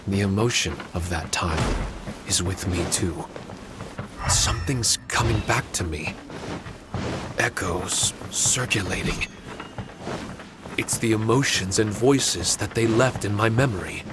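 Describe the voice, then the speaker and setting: An actor playing a calm, solemn man haunted by old memories in a video game, speaking in a measured, formal, reflective way. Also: fairly low pitch, very noisy. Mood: wistful. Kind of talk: video game character dialogue. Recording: loud music over the voice.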